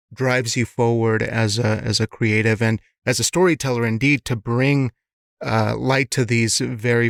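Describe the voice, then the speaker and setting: A man hosting an interview podcast, his voice gravelly with strong vocal fry. The sound is close and dry, and he asks searching questions, often restarting words as he goes. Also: medium pitch, dry recording. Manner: thoughtful, restarts words, affirming. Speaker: podcast host.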